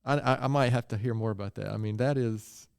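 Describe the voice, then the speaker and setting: A man's voice: medium pitch, brisk, gravelly, clear, resonant tone, no echo, clean studio sound. A man hosting a podcast, friendly and chatty, with rambling asides as he asks questions. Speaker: podcast host. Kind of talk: casual interview chat.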